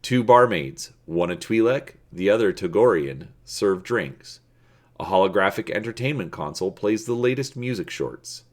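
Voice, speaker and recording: A man hosting and dramatically reading an adventure story, deliberate and stretching words for emphasis. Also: fairly low pitch, animated. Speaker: video host and storybook narrator.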